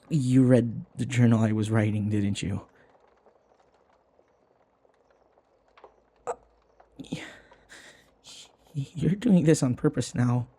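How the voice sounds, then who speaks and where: Guy acting as a shy flustered servant boy for a boyfriend roleplay, slow n breathy, stammers and apologizes a lot. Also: medium pitch, warm tone, full character voice. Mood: embarrassed, flustered. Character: flustered, submissive young male servant devoted to his vampire mistress.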